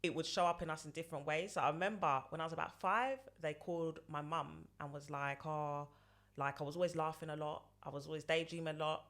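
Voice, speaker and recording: A woman's voice: low voice, fairly even intonation, quick pace, a bit bright. A woman in her late thirties talks as a podcast guest. She wanders through her answers, circling back and repeating phrases with plenty of fillers. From London, England. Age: 30s. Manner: rambling, repeats herself, many fillers. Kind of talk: casual interview answers.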